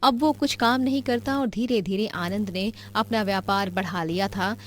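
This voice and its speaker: A woman's voice: medium pitch, normal speed. A woman storyteller reading scripted narration for a cartoon moral tale.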